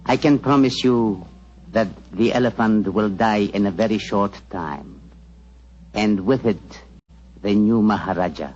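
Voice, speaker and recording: An actor playing a grave, loyal Indian royal physician with a solemn, formal, deliberate manner, in 1940s radio drama style. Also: male voice, lowish voice, a little mellow, slight background noise, lightly acted. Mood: coldly vengeful. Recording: dull 1940s radio broadcast, music behind.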